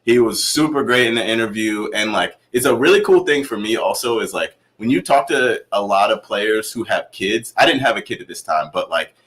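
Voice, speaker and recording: Excitable male stream host, bright lively voice, laughs a lot. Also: fairly low pitch. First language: not Spanish. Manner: excitable, laughs a lot, talks in quick bursts. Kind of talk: casual football watchalong chat.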